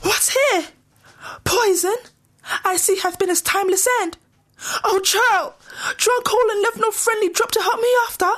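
A young woman acts an anguished teenage bride in a Shakespearean audio play. Her British voice is extremely high. She speaks very slowly, halting and breathless with grief. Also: a bit bright. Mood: anguished confusion. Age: young adult. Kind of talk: Shakespearean audio drama acting.

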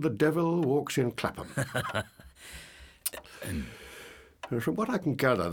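An actor playing a genteel English lord in a period audio drama, formal and grandiloquent, with an upper-class accent. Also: male voice, medium pitch. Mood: grave, wry. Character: genteel, pompous English lord, courteous and scheming.

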